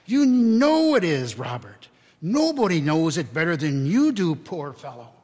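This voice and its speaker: A man voicing an indignant, sharp-tongued wife of a poor clerk in a storybook reading, scornful and emphatic. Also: fairly high pitch, sing-song, unhurried. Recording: church hall reverb.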